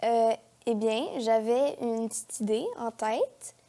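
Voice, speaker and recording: A woman's voice: a little high, fairly even intonation, slow pace, dark tone. A teenage girl with a Quebec French accent chatting casually as an interview guest, with youthful, informal phrasing. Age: teens. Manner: youthful informal talk peppered with "genre" and "comme". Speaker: guest, young actress. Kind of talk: casual chat. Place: TV studio.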